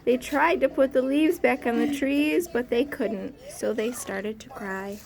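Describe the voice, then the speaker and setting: A woman teacher reading a storybook aloud to young children, expressive and pausing to ask questions. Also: a little high, creaky, crowd noise.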